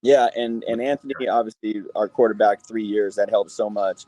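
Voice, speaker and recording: An American man, a high school football coach, answering interview questions earnestly and repeating phrases for emphasis. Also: steady tone, normal speed, dark tone, no room sound, poor audio.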